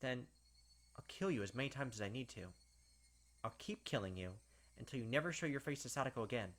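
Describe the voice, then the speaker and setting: A man's voice: medium pitch, lively intonation, a little fast, a bit bright. A man narrating a visual novel as a distraught, obsessive young killer who doubts his sanity, brooding and repeating himself insistently. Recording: game noise behind the voice.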